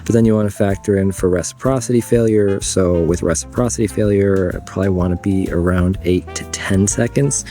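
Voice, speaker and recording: A man's voice: low-pitched, brisk, few pauses, mellow, faint music behind. A man with a cold, filmed on a phone outdoors at dusk, muttering as he explains his camera settings. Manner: muttering, thinking aloud. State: congested from a cold. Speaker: photography vlogger.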